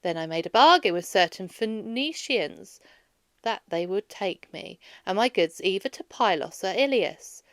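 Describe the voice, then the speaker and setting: Emphatic wandering hero played by a woman, lively pitch. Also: a little slow, no room sound, studio quality. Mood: guarded. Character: weary, wary wandering Greek hero, grown man.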